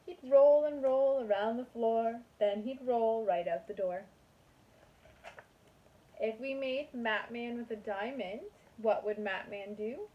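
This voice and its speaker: Higher-voiced woman teacher reading to young children. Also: slow pace, muffled recording. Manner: sing-song, addressing young children. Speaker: kindergarten teacher. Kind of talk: children's picture book read-aloud.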